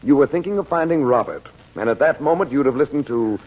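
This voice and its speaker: A steady, protective magician speaks firmly and reassuringly in a husky, lively voice. It sounds tinny and narrow, like an old radio broadcast. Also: male voice, mid-range voice, fast talker. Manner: calm, reassuring, firm. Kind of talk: 1940s radio acting.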